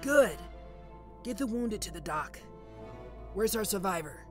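Man acting out a slow-talking, cocky mob boss. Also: high voice, lively intonation. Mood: angry, commanding. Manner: commanding, taunting, slow deliberate delivery. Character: cocky, ruthless male mob boss with a teasing protective streak. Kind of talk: ASMR roleplay audio drama. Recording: loud music bed under voice. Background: music.

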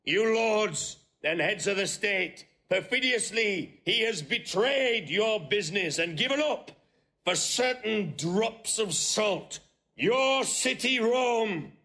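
Slow, high-voiced vengeful general. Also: male voice, lively intonation, sharp tone, exaggerated voice. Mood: scornful, accusing. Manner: declamatory, measured, oratorical. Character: vengeful Volscian general, bitter, taunting male warrior rival. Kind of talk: classical Shakespearean stage acting. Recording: faint noise, restored old recording.

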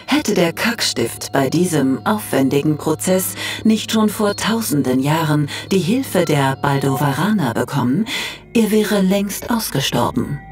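Slow, lowish female encyclopedia narrator. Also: expressive, darkish tone, soft background music. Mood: deadpan, instructive. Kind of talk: scripted deadpan documentary narration in audio drama.

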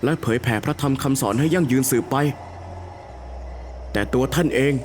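A man voicing a righteous Buddhist monk in an animated horror story, speaking in a formal, solemn, admonishing way. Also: mid-range voice, moderate pace, faint music behind, natural voice. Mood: stern reproach. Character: righteous, stern Buddhist monk confronting evil.

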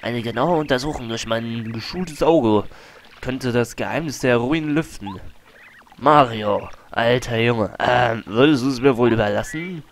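Ein Mann spielt einen aufgeblasenen Abenteurer. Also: male voice, medium pitch, measured pace, a little hiss or hum, natural voice. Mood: pompous. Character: pompous male explorer archaeologist, boastful. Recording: faint game music behind.

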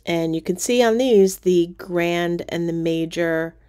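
A middle-aged woman casually narrating a walking tour of a cruise ship casino, relaxed and pointing things out as she goes. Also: on the low side, unhurried, clean tone.

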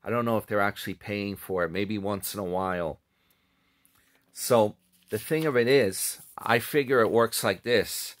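A man's voice: on the low side, normal speed. A middle-aged man casually chatting to camera from a small room at home, rambling and correcting himself as he goes. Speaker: commentary vlogger. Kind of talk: casual commentary on another channel's videos. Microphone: webcam or phone close up.

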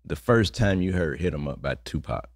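A man's voice: rumbling low, expressive, normal speed, no echo, studio quality. A man co-hosting a podcast, putting questions to a guest in a conversational interviewing style. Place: indoor podcast studio. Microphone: close studio microphone.

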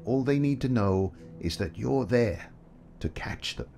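An actor playing a kindly, gentle photographer who speaks softly and thoughtfully. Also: male voice, medium pitch, expressive, a little slow, creaky, slight background noise. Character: kindly adult male photographer, gentle and reflective. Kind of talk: audio drama acting.

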